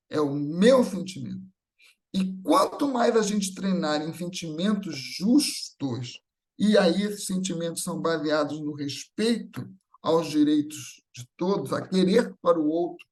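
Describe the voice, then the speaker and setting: A man with a Brazilian Portuguese accent giving a semi-improvised spiritual talk, teaching with repeated phrases and short tag questions. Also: fairly high pitch. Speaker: speaker at a Spiritist gathering.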